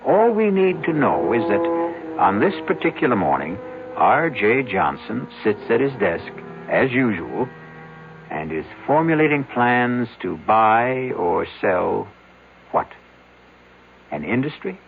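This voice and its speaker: A man playing a knowing, ominous radio mystery storyteller, narrating in a deliberate, portentous, rhetorical way. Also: mid-range voice, big pitch swings, vocal fry, exaggerated voice. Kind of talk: dramatic scripted radio narration. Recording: tinny old radio broadcast, faint hum.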